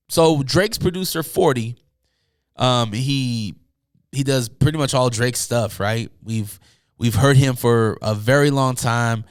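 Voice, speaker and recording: A young man with a hoarse, tired voice hosting a casual solo podcast, rambling loosely with many fillers and asides from a room at home. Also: medium pitch, dry recording, clean studio sound. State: hoarse, losing his voice. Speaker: podcast host.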